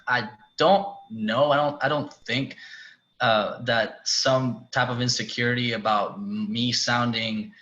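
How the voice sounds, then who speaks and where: A man from Spain with a Spanish accent, talking as a panelist in a town hall discussion, halting and restarting often. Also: mid-range voice, fairly even intonation, pushed and bright. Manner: halting, many restarts and "you know". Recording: dull lo-fi call audio.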